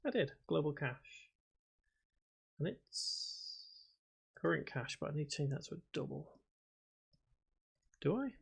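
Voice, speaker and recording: A man's voice: fairly high pitch, sing-song, slow, creaky, pauses often, slightly rough. A man from Liverpool with a faded Scouse accent, hosting a casual livestream as a game development tutor, chatting with viewers and sometimes mumbling to himself. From Liverpool area, England. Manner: mumbles to himself while working. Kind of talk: casual livestream chat, answering viewer comments.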